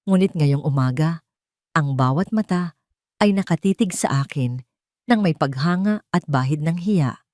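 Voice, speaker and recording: A woman with a lowish voice narrates a scripted first-person drama. Her delivery is solemn and deliberate, and the sound is dry with very little room around it. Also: lively intonation, a little mellow, dry recording. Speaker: audio story narrator.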